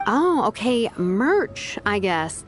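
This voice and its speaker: A woman's voice: fairly high pitch, very animated, takes it slowly, over music. A woman voicing a laid-back, dryly wry young craftswoman with an older-sister manner in a video game. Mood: dry, mildly exasperated. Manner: dry, understated.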